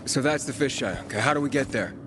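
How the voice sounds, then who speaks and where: A man's voice: mid-range voice, lively intonation, fast talker, noisy background, lightly acted. An actor playing a young male wanderer new to the city, questioning and wry, in a game cutscene. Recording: loud game music behind.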